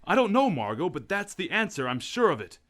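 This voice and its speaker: An actor playing a calm, thoughtful gentleman detective in a radio drama. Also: high-pitched. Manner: measured and thoughtful.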